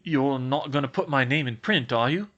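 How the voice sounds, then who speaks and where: A man's voice: mid-range voice, moderate pace, a bit bright, highs cut off, natural voice. A man voicing a skeptical teenage schoolboy who sounds wary and dry.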